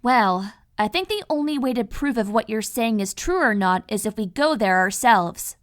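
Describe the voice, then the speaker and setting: A woman's voice: on the high side, expressive, normal speed, lightly acted. A woman voicing a thoughtful, protective adult unicorn mare in a cartoon-style audio drama. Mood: reassuring. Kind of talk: animated fan fiction audio drama acting.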